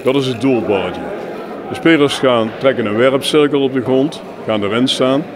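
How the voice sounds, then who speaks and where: A man explaining his sport in an informal interview, with halting, filler-strewn delivery. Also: medium pitch, a crowd in the background. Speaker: jeu de boules club representative being interviewed. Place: busy sports hall, on location. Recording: noisy venue with clear background ambience.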